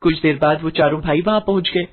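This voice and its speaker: A woman telling a storybook fairy tale in scripted narration, with an even, measured delivery. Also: low-pitched, husky, phone-quality sound.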